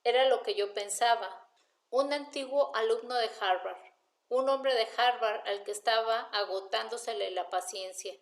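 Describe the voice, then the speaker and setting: A woman's voice: a little high, moderate pace. A woman reading a first-person crime novel aloud as an amateur audiobook narrator.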